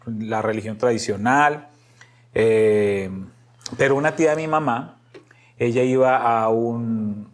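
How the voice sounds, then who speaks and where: A middle-aged Colombian man with a paisa accent, telling personal stories casually as a podcast guest and acting out bits of dialogue. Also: mid-range voice. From Medellín, Antioquia, Colombia.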